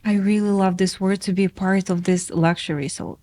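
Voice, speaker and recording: A woman's voice: mid-range voice, steady tone, normal speed, a little mellow. Woman hosting a podcast, loose chatty questions, lots of likes. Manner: casual, loose phrasing with many fillers. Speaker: podcast host. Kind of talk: podcast interview.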